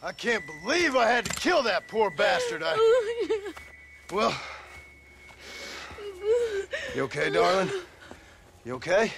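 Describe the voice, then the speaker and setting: An actor playing a gruff, sardonic Old West gunslinger and family man with an American accent, folksy and commanding, in a video game. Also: sits high, wildly swooping pitch, moderate pace, bright, forceful tone, a little hiss or hum, heavily acted. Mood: shaken, concerned. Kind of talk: dramatic video game acting.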